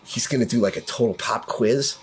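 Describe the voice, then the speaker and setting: A woman's voice: a bass voice, a little fast, very noisy, voice pushed down. A woman voicing a slangy, swaggering teenage schoolboy in a tabletop game, with slouchy, hesitant dude-speak. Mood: lazy, grumbling.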